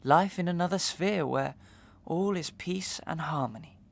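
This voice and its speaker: A man playing an anguished, haunted gentleman in a Victorian melodrama soliloquy, declamatory, halting and overwrought, over some background noise. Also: fairly high pitch, gravelly. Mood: despairing. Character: anguished, haunted gentleman, despairing and half-mad.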